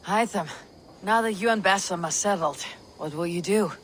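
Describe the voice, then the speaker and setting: A stoic Viking raider for a video game. The voice sits high for a man, and the delivery is plain, direct and reserved over faint background noise. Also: normal speed, a bit bright. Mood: calm, reserved. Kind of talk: dramatic video game acting.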